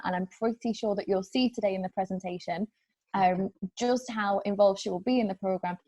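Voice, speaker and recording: Mellow-voiced British woman, admissions manager. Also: medium pitch, rough recording. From England. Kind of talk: online information session. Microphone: video call.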